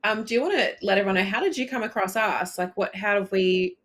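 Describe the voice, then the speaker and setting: A woman's voice: medium pitch, quick pace, a bit bright, a little hiss or hum. A woman co-hosting a podcast, introducing the guest and asking casual questions with upbeat interjections. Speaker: podcast co-host.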